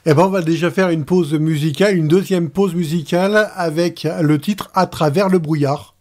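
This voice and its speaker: A man's voice: a little high, moderate pace, darkish tone. A man with a French accent hosting a radio interview, introducing songs and asking questions.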